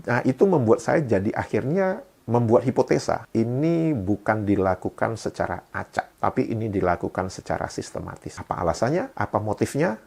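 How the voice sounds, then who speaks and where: A man's voice: lowish voice, gravelly, darkish tone, husky. A man with an Indonesian accent giving casual explanatory commentary, talking straight to viewers and posing rhetorical questions. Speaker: video host and commentator.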